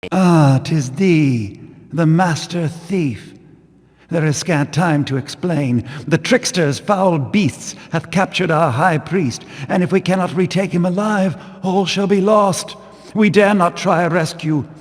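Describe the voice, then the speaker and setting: Pious stern game zealot, formal old-timey speech, slow delivery, big echoey hall. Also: male voice, fairly high pitch. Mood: grave urgency. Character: stern, devout male Hammerite zealot of a religious order. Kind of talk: archaic, solemn video game dialogue. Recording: long hall reverb.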